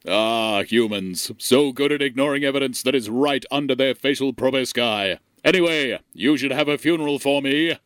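A man's voice: mid-range voice, moderate pace, few pauses, a bit bright, smooth, no echo, clean studio sound, close to an everyday voice. A man playing a haughty, aggrieved disembodied alien in a comedy audio drama, speaking in formal, grandiose phrases. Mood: haughty, demanding. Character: pompous aggrieved male alien intelligence of gas and light.

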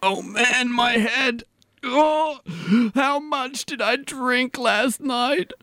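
A man playing a miserable hungover man in a comedy audio drama, groaning and whimpering in halting phrases. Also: very high voice, bright, forceful tone, soft background music, pitched above the performer's own voice, exaggerated voice. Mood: miserable, pleading.

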